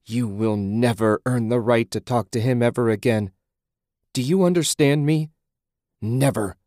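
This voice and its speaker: A man voicing a furious, grieving woman in an audiobook, vengeful, forceful and profane as the character confronts someone. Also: on the low side, measured pace, dry recording, studio quality. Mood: enraged, accusing.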